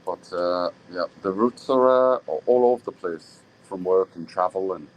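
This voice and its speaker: Easygoing deep-voiced British man. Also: moderate pace, rough recording. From Britain. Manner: easygoing, often trails off and restarts. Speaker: stream guest. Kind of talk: casual live-stream chat.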